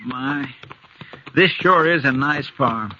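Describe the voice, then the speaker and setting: A man's voice: mid-range voice, slow, sharp tone. An actor playing an amiable, deferential sidekick to a marshal in a 1950s radio western, folksy and polite in his talk. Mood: regretful. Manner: folksy, polite, drawn to homely phrases. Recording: dull vintage radio recording, faint noise.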